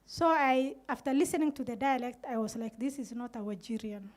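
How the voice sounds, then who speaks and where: Earnest high-voiced Kenyan Somali woman. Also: a little fast. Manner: earnest, recounts events with quoted dialogue. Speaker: community radio manager on a panel. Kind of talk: panel talk, storytelling. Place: indoor room with curtains. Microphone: handheld microphone.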